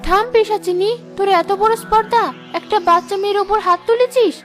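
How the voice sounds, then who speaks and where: A woman's voice: very high-pitched, a little fast, fairly bright tone, faint music behind. A woman voicing a brave, righteous fairy rescuer in a cartoon fairy tale, commanding and indignant. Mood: indignant, defiant.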